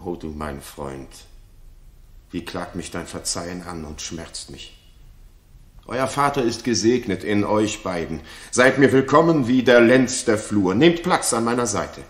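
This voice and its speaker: A man's voice: fairly low pitch, expressive, unhurried. An impassioned, remorseful king. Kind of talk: 1960s German radio theatre acting. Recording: roomy 1960s studio radio recording.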